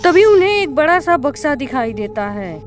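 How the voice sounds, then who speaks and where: A woman's voice: high voice, big pitch swings, normal speed, faint music behind. A woman reading scripted narration for a cartoon horror story.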